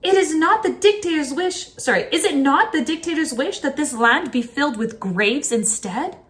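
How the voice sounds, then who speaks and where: A clever, determined village girl from a storybook, played by a woman reader. She is earnest and exclamatory, with a high voice and lively pitch. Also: normal speed. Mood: excited, resolute.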